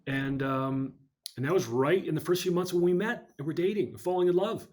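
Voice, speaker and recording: A man speaking as a podcast guest, telling a personal story in an earnest, measured way. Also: fairly high pitch, darkish tone.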